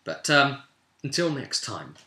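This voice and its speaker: Bright, forceful man in an echoey room. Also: medium pitch, a little slow, slight background noise. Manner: animated storyteller, voicing characters' lines and addressing listeners directly. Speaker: game master and host of a tabletop roleplay recap series. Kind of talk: informal, spoken recap of a tabletop fantasy game session. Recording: echoey, roomy sound.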